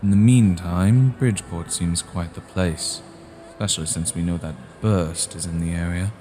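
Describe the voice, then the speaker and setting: A man playing a cold, scheming vampire clan leader in a fantasy audio drama, speaking in a deliberate, formal and grave way. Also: sits low, dark tone, slight background noise, close to an everyday voice.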